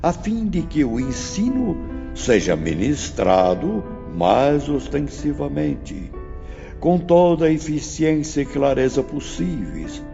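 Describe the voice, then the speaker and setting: An actor playing a stern, learned spirit teacher in a dramatised audiobook, lecturing in a solemn, emphatic, oratorical way. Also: male voice, mid-range voice, vocal fry, heavily acted. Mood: urgent, exhortative. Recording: muffled, long reverb, music behind.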